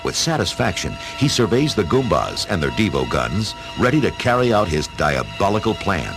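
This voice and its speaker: Low, animated male story narrator. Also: normal speed, music in the background. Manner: expressive storytelling. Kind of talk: dramatic scripted story narration.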